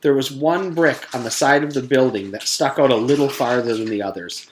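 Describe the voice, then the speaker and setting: A man's voice: mid-range voice, a little fast, rough recording. A man, a teacher, reading a storybook aloud.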